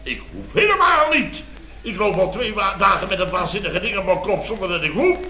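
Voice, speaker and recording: Blustering high-voiced boss, old radio comedy. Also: male voice, sing-song, a little fast, sharp tone, full character voice. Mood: frantic, exasperated. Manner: indignant, sputtering, repeats himself, pompous. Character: blustering, exasperated male boss of a building firm. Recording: dull old radio recording, roomy, studio audience.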